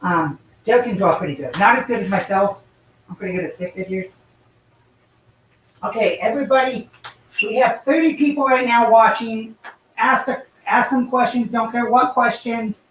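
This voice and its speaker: A woman's voice: on the high side, moderate pace, a little hiss or hum. A woman hosting a casual live stream, chatty and loose, talking through an in-ear microphone. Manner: chatty, loose, lots of fillers. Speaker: livestream host. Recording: echoey room audio.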